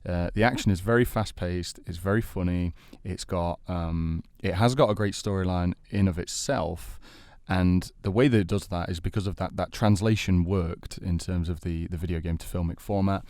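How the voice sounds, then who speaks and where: A man's voice: sits low, normal speed, few pauses, darkish tone, no echo, studio quality. A man co-hosting a podcast, making his case in casual debate with hesitant, considered phrasing. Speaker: podcast co-host.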